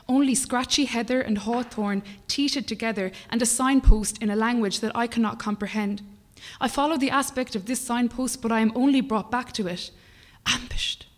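A young Irish woman poet reading her work aloud in a measured, rhythmic way at a lectern microphone, at times dropping to a whisper. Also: fairly high pitch, big-room sound. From Ireland. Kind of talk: poetry reading. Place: small brick-walled performance venue, indoors. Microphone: stand mic at a lectern.

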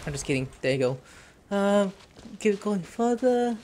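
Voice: medium pitch, expressive, a little slow, noisy background. A streamer giving casual gameplay commentary, playful and exclamatory, recorded in a room at home. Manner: playful, crude joking, exclamatory. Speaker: gaming streamer. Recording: game music behind.

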